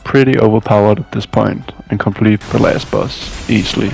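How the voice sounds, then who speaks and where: A man's voice: on the low side, fairly even intonation, normal speed, creaky, low-quality recording. A man narrating a casual video game walkthrough as a scripted voice-over, with dry, weary asides. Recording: music behind the voice. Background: game music.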